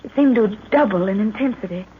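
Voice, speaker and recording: An actress playing an anxious, affectionate young heroine in genteel, melodramatic old radio style. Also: female voice, medium pitch, expressive, fast talker, lightly acted. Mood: troubled, confiding. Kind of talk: 1940s radio acting. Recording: muffled, noisy old radio recording.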